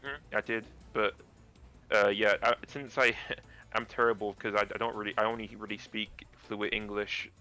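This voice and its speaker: A man with a naturally deep voice, a guest actor chatting casually about acting, rambling and restarting his sentences. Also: little pitch movement, normal speed, pushed and bright, faint music behind.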